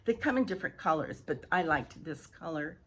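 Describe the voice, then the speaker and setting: A woman vlogger with a hoarse early-morning voice, chatting casually and haltingly to viewers as she repeats and restarts phrases. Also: fairly low pitch, expressive. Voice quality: husky, hoarse. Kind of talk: casual rambling chat to viewers. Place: outdoor home patio.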